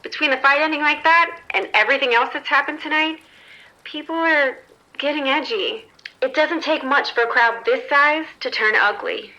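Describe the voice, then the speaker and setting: An actress playing a wary casino employee, measured and foreboding, in a horror audio drama. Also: female voice, sits high, pushed and bright, low-quality recording. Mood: concerned. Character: wary female casino employee warning of trouble.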